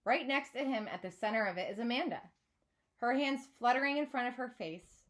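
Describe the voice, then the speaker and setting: A woman reading a children's novel aloud like a teacher, with expressive, dramatised narration. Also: a little high, brisk.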